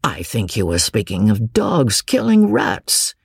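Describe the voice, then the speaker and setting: A narrator voicing a courtly, mild English gentleman who is bland, polite and slyly encouraging to his cousin. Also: medium pitch, wildly swooping pitch, unhurried, husky, no room sound, clean studio sound, heavily acted.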